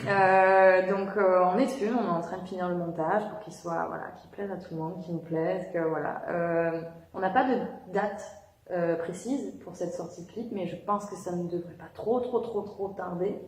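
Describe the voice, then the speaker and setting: A woman's voice: fairly low pitch, steady tone, normal speed. A young woman singer answering interview questions in a casual, hesitant way, with many fillers and restarts. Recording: echoey room.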